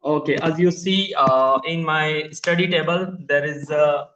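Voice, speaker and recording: A man's voice: on the high side, fairly even intonation, a little slow, fairly bright tone, echoey room, highs cut off. A man from India whose first language is Hindi, practicing English in earnest, polite, simple phrasing on a laptop video call. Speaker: English learner. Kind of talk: casual online conversation lesson. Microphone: laptop microphone on a video call.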